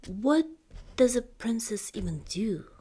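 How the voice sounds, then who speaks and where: A woman voicing an earnest, gentle young princess in a soft, whispered ASMR storybook reading. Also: fairly high pitch, animated, husky, pitched above the performer's own voice, heavily acted. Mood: unsure. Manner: soft, slow, careful delivery.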